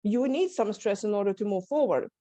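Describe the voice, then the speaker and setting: A woman's voice: medium pitch, lively intonation, fairly quick, mellow, no echo. A woman from Lithuania with a Lithuanian accent, a stress coach telling her story in an earnest interview. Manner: earnest, many restarts and small laughs. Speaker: guest, stress management coach. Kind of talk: candid interview talk. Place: home setup, video call. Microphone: video call.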